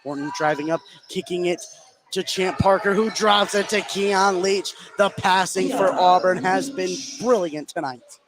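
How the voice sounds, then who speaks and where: An American man calling live basketball play-by-play on the radio, getting excitable on big plays. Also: high-pitched, a little slow, a crowd in the background. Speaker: sports radio play-by-play announcer. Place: indoor high school basketball game. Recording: noisy live gym broadcast.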